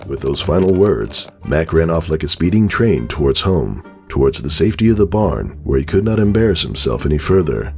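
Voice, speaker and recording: Male narrator, very deep pitch, dramatic storytelling, scripted, background music. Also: big pitch swings, a little fast, few pauses, darkish tone.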